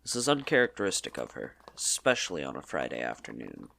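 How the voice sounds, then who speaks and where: A man's voice: a bass voice, wildly swooping pitch, fast talker, creaky, pushed and bright. A man narrating a scripted story in a dramatic storybook style.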